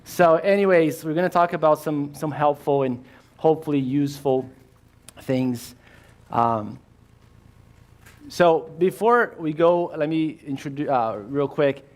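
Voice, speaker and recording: Man, Brazilian accent, higher voice, halting delivery, workshop speaker. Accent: Brazilian Portuguese. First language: Portuguese. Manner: relaxed, halting, many restarts and fillers. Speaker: insurance rep and risk manager. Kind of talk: workshop presentation.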